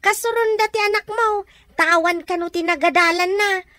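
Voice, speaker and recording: An actress playing a nosy, excitable woman who gossips and needles in an Ilocano radio comedy drama. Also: extremely high pitch, moderate pace, no echo, studio quality. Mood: pointed, provoking.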